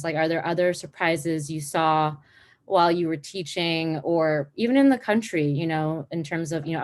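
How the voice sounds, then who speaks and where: A woman moderating a panel, hesitant and searching for words as she asks questions. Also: sits low.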